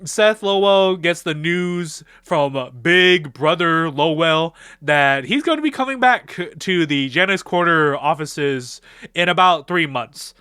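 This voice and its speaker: A young man tells a story in a casual vlog style, full of slang. His voice is bright and clear and swings freely in pitch. The recording is close and dry. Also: slow, dry recording. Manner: slangy, animated, acts out quoted dialogue. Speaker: video creator recapping fan game ideas.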